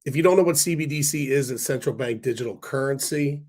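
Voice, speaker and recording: Hoarse man chatting on a stock stream. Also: mid-range voice, fast, darkish tone. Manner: rambling, many fillers and restarts. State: hoarse from a cold. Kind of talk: casual livestream chat reading viewer comments.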